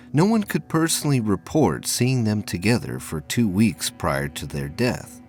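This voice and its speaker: Low-voiced man narrating, expressive pitch. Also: gravelly. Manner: measured, formal storytelling. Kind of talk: scripted narration of a sci-fi audio drama.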